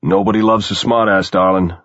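A man's voice: low voice, little pitch movement, a little fast, fairly bright tone, no echo. A man reading an audiobook, voicing a blunt, wisecracking grown man. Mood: gruff. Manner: blunt, sardonic.

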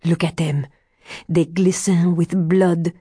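Woman voicing a slow, superstitious old fortune-teller man. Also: fairly low pitch, fairly even intonation, mellow, slightly rough, no room sound, clean studio sound. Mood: excited, gloating. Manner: slow, exclamatory, foreboding, with muttered asides. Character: withered, superstitious old male fortune-teller, sly and ominous. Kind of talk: audiobook character dialogue.

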